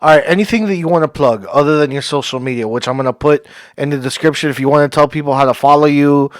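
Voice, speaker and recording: A man's voice: a little high, quick pace, no echo, clean studio sound. A man hosting a podcast, talking casually and thanking his guest effusively, laughing often. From Cuban heritage. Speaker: podcast host.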